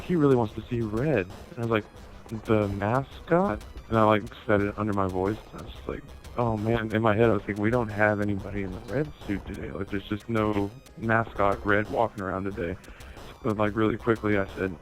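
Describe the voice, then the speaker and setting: A man with a slightly low voice spins a casual, funny story over an online game. He swears freely and acts out the dialogue as he goes, in the manner of someone talking to friends. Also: normal speed, faint music behind, poor audio. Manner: sweary, animated retelling with acted-out dialogue. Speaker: storyteller in an online gaming video. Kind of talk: casual comic anecdote told over gameplay.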